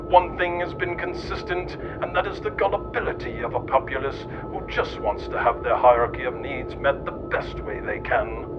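A middle-aged man reading scripted, satirical mock-documentary narration. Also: mid-range voice. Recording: muffled lo-fi narration under music. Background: music.

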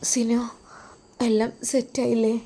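A woman voicing a hurt young wife who speaks quietly, on the edge of tears. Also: a little high, level tone, unhurried, pitched above the performer's own voice. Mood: forced cheer. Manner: quiet, fragile and close to tears.

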